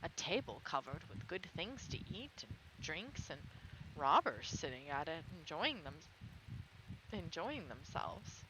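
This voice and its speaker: A woman's voice: low voice, sing-song, a little slow, pitched below the performer's own voice. Woman reading as practical storybook donkey, noisy recording. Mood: describing. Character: big male donkey, companionable fairy-tale animal. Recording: clearly noisy background.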